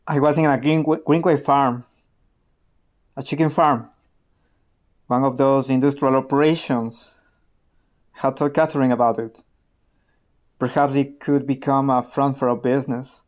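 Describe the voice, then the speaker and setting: A man's voice: mid-range voice, fairly even intonation, unhurried, long pauses, natural voice. Man acting an earnest, disillusioned activist. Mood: guarded. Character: disillusioned, principled male activist turned informant from El Salvador. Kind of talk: radio play acting.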